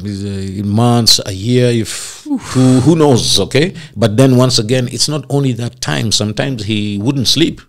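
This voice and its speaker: A middle-aged man, an actor, talking expansively in a casual interview and acting out the voices of people he quotes. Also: medium pitch. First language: not Swahili.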